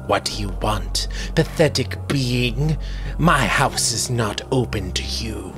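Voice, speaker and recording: A man's voice: mid-range voice, lively intonation, slow, over music. A man voicing a cruel, scornful old sea witch, snappish and imperious, in a dramatic storybook reading. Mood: irritated scorn.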